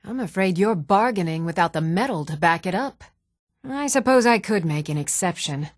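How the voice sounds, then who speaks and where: An actress playing an even-toned fantasy townswoman in scripted video game dialogue, with a measured, composed delivery. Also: on the low side, no echo, studio quality.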